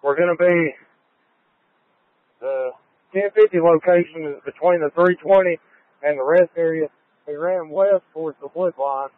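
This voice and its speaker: A man's voice: fairly high pitch, normal speed, pauses often, no echo. Man on a tinny police radio. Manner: clipped procedural phrasing in radio codes. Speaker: state trooper in a vehicle pursuit. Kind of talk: terse police radio traffic.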